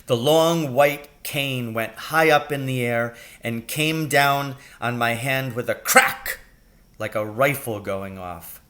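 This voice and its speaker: A man reading a children's novel aloud as an expressive storybook narrator. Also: mid-range voice, slow pace.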